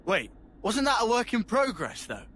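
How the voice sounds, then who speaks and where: A man's voice: high voice, huge pitch swings, moderate pace, fairly bright tone, a little hiss or hum, exaggerated voice. An actor playing a brash young man soldier in a video game, talking casually and bluntly.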